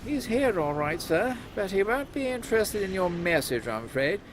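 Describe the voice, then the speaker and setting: A man's voice: high voice, very animated, normal speed, a little hiss or hum. A man playing an officious Victorian London policeman in a comic audio drama, matter-of-fact and dryly grim. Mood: deadpan, grim.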